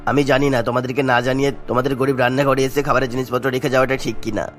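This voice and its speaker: A man's voice: mid-range voice, little pitch movement, very fast, a bit bright, faint music behind, close to an everyday voice. A man playing a kindly old village father-in-law in a cartoon, speaking in an earnest, apologetic way. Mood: earnest, humble. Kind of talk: cartoon story dialogue.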